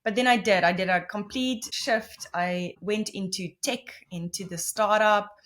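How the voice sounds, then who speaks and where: A woman's voice: mid-range voice, steady tone, a little slow, fairly bright tone. A Namibian woman whose first language is Afrikaans, a podcast guest explaining things at length with many restarts and self-corrections. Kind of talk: conversational interview.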